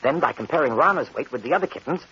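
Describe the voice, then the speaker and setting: A forceful, rather high-pitched voice for an arrogant American scientist in an old radio chiller. He is a domineering, impatient husband, heard through a dull, hissy 1940s broadcast recording. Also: animated, very fast, husky, full character voice. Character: arrogant, obsessive scientist husband, stern and dismissive. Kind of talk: 1940s radio horror acting.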